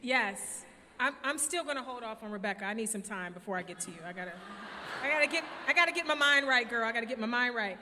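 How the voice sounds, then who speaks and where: Woman moderator, high-pitched and playful, echoing over a big noisy hall. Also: lively intonation, fast. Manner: playful, gushing, informal. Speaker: panel moderator. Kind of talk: lively fan convention panel hosting. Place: large convention hall stage. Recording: echoey convention hall PA, noisy.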